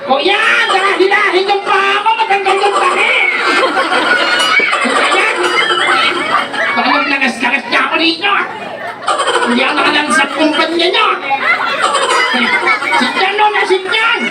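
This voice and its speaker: A woman voicing a cheeky, excitable eight-year-old boy puppet in a childlike Filipino-accented voice at a live comedy show. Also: very high-pitched, a little slow, pauses often, voice pushed up, exaggerated voice. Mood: loud protest. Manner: cheeky, excitable, loudly protesting. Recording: roomy, distant live event, music, loud crowd.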